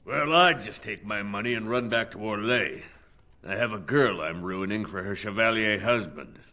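A gruff, cynical rogue in a video game. His voice sits fairly low with a sharp, forceful edge, and he talks in terse, dry, sardonic remarks. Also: moderate pace. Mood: cynical, resigned.

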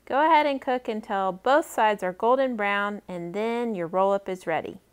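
A woman's voice: medium pitch, moderate pace, smooth. A woman in her thirties hosting a friendly step-by-step cooking demonstration for children, speaking in simple, encouraging instructions. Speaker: library cooking program host for kids.

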